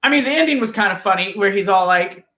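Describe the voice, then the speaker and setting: A man's voice: sits high, animated, quick pace, pushed and bright, roomy sound, lo-fi sound. A man casually reviewing a wrestling show, rambling and joking as he argues with his co-host. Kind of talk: casual live review chat.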